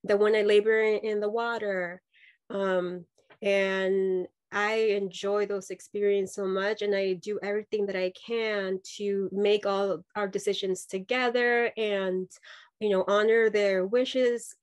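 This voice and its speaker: A woman's voice: medium pitch, level tone, measured pace, clear, resonant tone. A woman in her thirties from Mexico, speaking as a nurse-midwife guest on a video call. She talks in an earnest, reflective way, with many fillers and restarts. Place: home room with dark sofa. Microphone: laptop video call.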